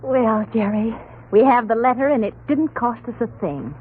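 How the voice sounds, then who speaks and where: An actress playing an affectionate, optimistic amateur sleuth's wife in a 1940s radio mystery. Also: female voice, a little high, normal speed, natural voice. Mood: cheerful. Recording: tinny, noisy 1940s radio recording.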